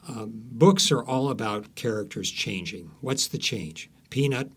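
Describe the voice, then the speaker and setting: A man's voice: fairly low pitch, lively intonation, a little slow, fairly bright tone, breathy edge. A man speaking as an author being interviewed, telling stories conversationally with frequent false starts and repeated words.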